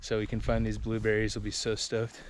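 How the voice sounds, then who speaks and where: A man's voice: lowish voice, monotone, brisk, background noise. A man from Hawaii hosting an outdoor vlog, talking enthusiastically as he explores and often exclaims. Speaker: vlog host. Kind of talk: casual on-camera vlog narration. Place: outdoors on a mountain hike.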